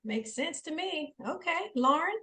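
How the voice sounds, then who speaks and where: A woman moderating a panel, calling on each guest by name with quick short acknowledgements. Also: high voice, animated, bright, forceful tone. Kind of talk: hosting a panel discussion.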